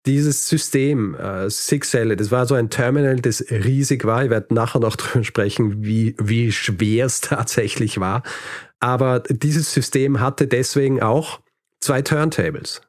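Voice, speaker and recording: A man's voice: mid-range voice, measured pace, dry recording. A man hosting a podcast, telling history in a conversational, explanatory way with light dry humour. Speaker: podcast host.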